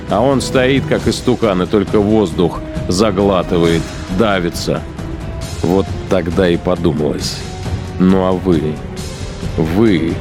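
An actor playing a cynical, menacing male battalion commander in a radio drama, musing coldly with coarse, sneering contempt. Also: deep voice. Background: music behind the voice.

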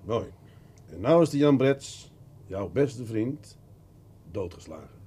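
Wry seasoned police detective, swooping intonation. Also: male voice, on the low side, lively intonation, warm tone, breathy edge, exaggerated voice. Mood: stern, probing. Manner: deliberate, repeats words, mock-agreeable questioning. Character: seasoned male police detective, wry, patiently probing, world-weary. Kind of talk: radio drama acting.

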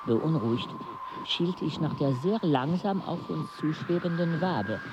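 Weary expedition companion for radio drama, higher voice, hesitant, unhurried, clear background noise. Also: male voice, expressive. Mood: grave, uneasy. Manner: slow, hesitant, deliberate delivery. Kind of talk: radio play acting and narration. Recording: noisy, slightly muffled outdoor soundscape.

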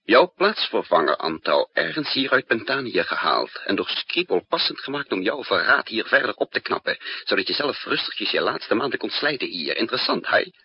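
Bold hunted double agent, husky, emphatic, lively pitch, muffled old radio drama recording. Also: male voice, moderate pace, full character voice. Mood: bitter, confronting. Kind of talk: 1960s radio drama acting with first-person narration. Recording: dull, noisy, roomless 1960s radio recording.

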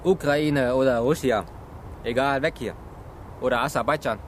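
An actor in a radio drama playing a stubborn Vietnamese migrant man who speaks in terse, broken, halting phrases. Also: medium pitch, natural voice. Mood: insistent. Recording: noisy outdoor scene with vehicles.

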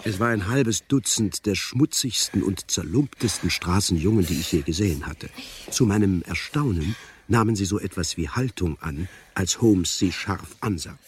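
A respectable gentleman recounting his memoirs in a vintage radio play. His very deep voice is measured, literary and faintly bemused, with slight noise on the old recording. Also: expressive. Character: male doctor narrating, bemused, respectable gentleman. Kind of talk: 1960s radio drama narration.

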